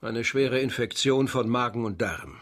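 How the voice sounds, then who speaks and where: A sober physician of old Paris, played by an actor, with a medium-pitched voice. He talks formally and courteously, with a resigned gravity suited to a period radio mystery. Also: a little slow, close to an everyday voice. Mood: resigned, guarded. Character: sober, resigned hospital doctor in 17th-century Paris. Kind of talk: German radio crime drama acting.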